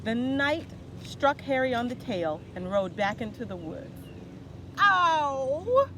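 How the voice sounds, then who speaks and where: A woman's voice: on the high side, animated, unhurried. A woman who works as a children's storyteller, speaking casually and reading aloud for kids. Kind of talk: animated read-aloud storytelling and casual introduction. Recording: clear ambient background noise.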